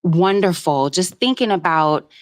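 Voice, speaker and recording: A woman from Jamaica calling in to a live show, speaking earnestly and at length, with many pauses and "um"s. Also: on the low side, animated. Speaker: caller. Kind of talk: casual call-in commentary.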